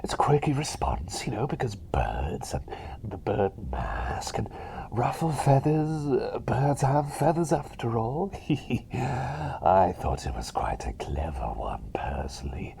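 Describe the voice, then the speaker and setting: A man plays an eccentric male plague doctor in an ASMR roleplay, murmuring and whispering with a crackly fry. His pitch swings wildly as he rambles, doubles back on phrases and hopes for approval. A faint noisy ambience sits behind the voice. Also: big pitch swings, takes it slowly, full character voice. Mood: hopeful, playful. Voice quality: breathy whisper mixed with soft voice. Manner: hesitant, rambling, repeats phrases, eager for approval.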